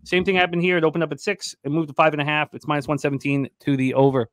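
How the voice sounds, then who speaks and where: A man's voice: fairly high pitch, flat delivery, racing, no room sound. A middle-aged man hosting a sports betting show, giving hockey preview commentary, often repeating words as he goes, on a close desk mic. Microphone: close desk microphone.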